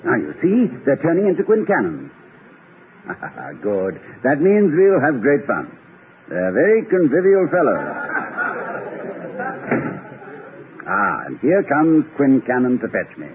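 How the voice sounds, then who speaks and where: An actor playing an eager, slightly flustered, genteel middle-aged college president in old-time radio comedy, with a hesitant, courteous, slightly pompous delivery. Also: male voice, medium pitch, huge pitch swings, measured pace. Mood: excited. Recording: scratchy, narrow-band old radio broadcast.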